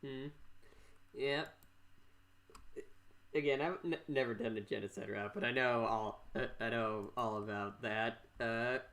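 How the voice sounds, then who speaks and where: A man's voice: mid-range voice, unhurried, pauses often, a bit bright. A man hosting a casual podcast chat, rambling and jokey, often stammering over words. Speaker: podcast host.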